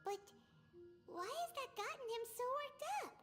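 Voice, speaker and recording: A tiny, chirpy fairy sidekick from a video game, with a very high voice. She is excitable and curious, firing off one question after another, and faint game music sits behind her. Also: moderate pace. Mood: curious worried.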